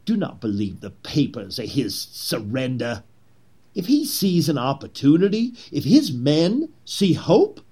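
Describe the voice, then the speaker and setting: An actor playing a zealous, persuasive Confederate conspirator in a historical drama, with a husky voice and theatrical, oratorical delivery. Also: male voice, a little high, a little mellow, heavily acted. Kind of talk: period historical drama acting.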